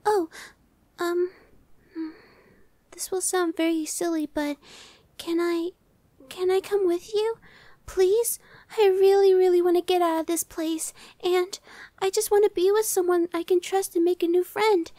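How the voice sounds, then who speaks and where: A woman voicing a timid, anxious young female gargoyle in an ASMR roleplay, soft, girlish, hesitant and stammering. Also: very high voice, no room sound. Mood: hopeful, grateful. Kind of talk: ASMR audio roleplay acting.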